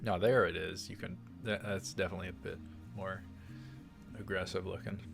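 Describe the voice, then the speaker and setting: A man with a lowish voice hosts a game playthrough. He reads narration aloud and drops in casual comments. Soft music plays underneath. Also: flat delivery, moderate pace, creaky. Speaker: let's play host. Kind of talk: reading a visual novel aloud with casual asides.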